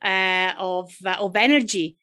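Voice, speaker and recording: A woman with an Italian accent, a psychiatrist answering interview questions thoughtfully, with frequent pauses and fillers. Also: medium pitch, bright, forceful tone. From Italy. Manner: thoughtful, hesitant with many fillers. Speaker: psychiatrist guest.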